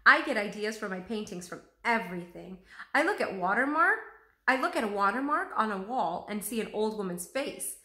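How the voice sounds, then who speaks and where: Leonardo, a woman voicing an animated, eager male artist. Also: mid-range voice, normal speed. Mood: excited. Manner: exclamatory, lecturing enthusiasm. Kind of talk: children's storybook read-aloud. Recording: roomy, echoing room sound.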